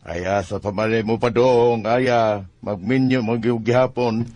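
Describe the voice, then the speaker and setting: A man's voice: mid-range voice, steady tone, unhurried, darkish tone, no echo, close to an everyday voice. Flustered middle-aged Cebuano father, played by an actor. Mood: agreeable. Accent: Filipino, Cebuano. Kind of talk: radio drama acting.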